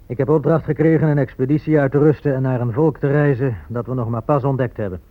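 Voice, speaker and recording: An actor playing a self-satisfied, gold-hungry Spanish conquistador in a radio drama, speaking in a blunt, matter-of-fact way. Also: male voice, medium pitch, steady tone, quick pace, natural voice. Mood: matter-of-fact, boastful. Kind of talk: radio drama monologue and dialogue. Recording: muffled phone-like old radio play.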